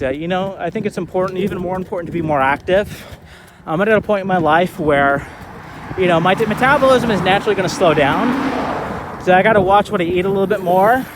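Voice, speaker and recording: A man in his thirties talking casually in a vlog, relaxed and rambling with lots of fillers, recorded outdoors while skating. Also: high-pitched, noisy background. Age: 30s. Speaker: vlogger.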